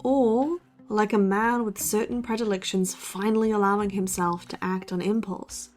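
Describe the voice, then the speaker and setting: A woman's voice: medium pitch, fairly even intonation, normal speed, a little mellow, faint music behind, natural voice. A woman playing a sceptical, dry-witted young student podcaster in an audio drama. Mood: matter-of-fact. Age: young adult. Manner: sardonic, dry. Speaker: podcast co-host character. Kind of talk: conversational audio drama acting.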